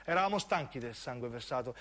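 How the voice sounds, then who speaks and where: A man's voice: mid-range voice, expressive, quick pace, very noisy. A man with a southern Italian accent narrating a theatrical monologue for radio, emphatic and given to incantatory repetition. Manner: emphatic, incantatory repetition, acting out the characters as he tells. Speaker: narrating actor.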